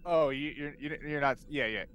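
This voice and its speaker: A man talking casually as a player in a tabletop game, informal and thinking out loud. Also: on the high side, normal speed, clear, resonant tone.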